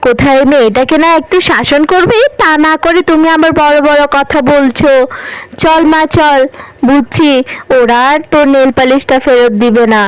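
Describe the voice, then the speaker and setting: Poor village mother for a cartoon, played by a woman: high voice, plaintive, loving, easily aggrieved. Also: moderate pace, dry recording, close to an everyday voice. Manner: plaintive, reproachful. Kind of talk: animated cartoon dialogue.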